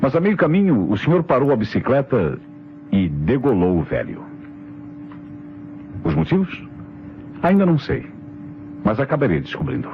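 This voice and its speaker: A Brazilian actor playing a shrewd, self-assured police inspector who lays out crimes theatrically in an old radio mystery. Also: male voice, mid-range voice, wildly swooping pitch, creaky, slight background noise. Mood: triumphant, accusing. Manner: theatrical, deliberate, accusing explanations. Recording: dull old radio recording, faint music.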